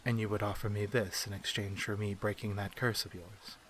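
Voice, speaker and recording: A man voicing a courteous, learned vampire in an ASMR fantasy roleplay, speaking formally and with measured, archaic phrasing. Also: lowish voice, level tone, a little hiss or hum, close to an everyday voice, rough recording. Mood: formal, composed. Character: courteous, learned, human-friendly male vampire curse-breaker.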